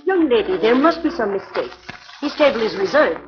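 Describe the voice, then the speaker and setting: A woman's voice: on the high side, big pitch swings, fairly quick. An actress playing a poised, scheming aristocratic woman who speaks formally, in a 1940s radio drama with a lo-fi sound. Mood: poised, probing. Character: smooth, scheming aristocratic female crook.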